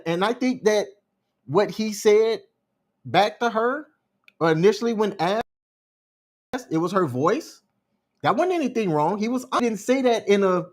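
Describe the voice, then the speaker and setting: Guy co-hosting a podcast, kinda high voice, lays out his opinions. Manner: opinionated, explaining his point. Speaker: podcast co-host. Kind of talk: casual commentary chat.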